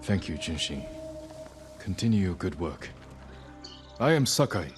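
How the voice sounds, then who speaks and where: A man playing an honourable samurai lord in a video game, restrained and earnest, speaking in a measured, formal way. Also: sits low, sing-song, soft background music. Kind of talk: cinematic video game acting.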